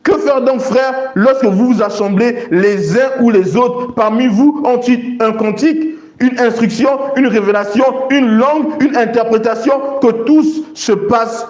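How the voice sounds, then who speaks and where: High-pitched male preacher in an echoey room. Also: unhurried, hardly any pauses, darkish tone. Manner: emphatic, repeats phrases for stress. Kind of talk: sermon with scripture read aloud and explained.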